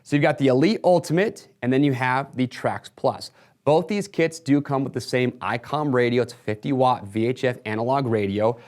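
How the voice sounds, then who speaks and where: A man's voice: mid-range voice, moderate pace, a little mellow. A man presenting a retail product walkthrough in a casual, explanatory sales manner.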